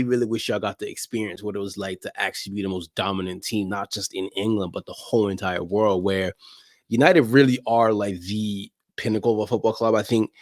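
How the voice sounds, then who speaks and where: A man's voice: lowish voice, dry recording, clean studio sound. A middle-aged American man chatting casually as a podcast guest, using slang and repeating phrases for emphasis. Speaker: interview guest, soccer media personality.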